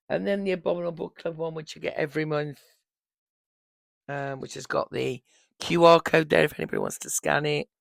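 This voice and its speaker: Gravelly-voiced woman in her fifties. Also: deep voice, steady tone, normal speed, long pauses, no echo. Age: 50s. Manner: chatty, rambling, warm fond asides. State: losing her voice, hoarse. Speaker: book vlogger. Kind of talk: casual chat about books. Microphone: microphone on a holder.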